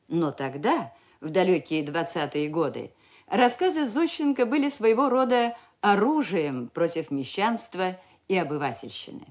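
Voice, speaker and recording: A woman radio announcer reading a formal scripted introduction with expressive delivery. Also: fairly low pitch, normal speed.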